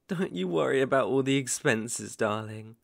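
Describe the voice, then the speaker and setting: Possessive yandere boyfriend , played by a man for ASMR roleplay: mid-pitched, gravelly fry, hushed and condescendingly sweet with menace. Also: dry recording, clean studio sound, heavily acted. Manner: slow, cooing, gently condescending, with soft menacing intensity. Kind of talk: ASMR roleplay, dramatic monologue.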